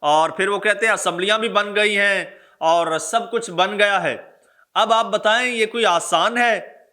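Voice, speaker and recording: A man's voice: high-pitched, unhurried, sharp tone. A man with a Pakistani accent hosting political news commentary for his viewers, speaking emphatically and stressing his points through repetition. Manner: emphatic, repeats phrases for stress. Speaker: political news vlogger, host.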